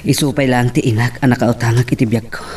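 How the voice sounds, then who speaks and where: An actor with a Filipino accent playing a skeptical, scheming uncle in an audio drama, speaking deliberately. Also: male voice, medium pitch, fairly even intonation, darkish tone, lightly acted. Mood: brooding, scheming. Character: skeptical, scheming uncle absorbed in local politics. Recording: noticeable background noise.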